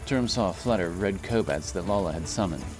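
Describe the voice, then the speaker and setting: Low-pitched male fantasy narrator. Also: animated, a little fast, over music. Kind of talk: scripted dramatic fantasy narration.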